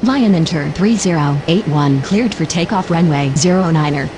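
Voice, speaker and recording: A woman's voice: deep voice, moderate pace, background noise, natural voice. A woman voicing a procedural airport radio operator in a game, using formal, clipped aviation phraseology. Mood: businesslike. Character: procedural female airport controller and pilot radio voice. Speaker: air traffic control radio voice.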